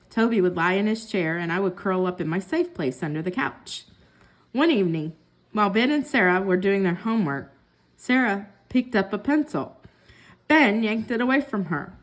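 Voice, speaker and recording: A woman reading a children's book aloud as a storyteller, with expressive narration. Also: mid-range voice, rough recording. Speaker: story reader.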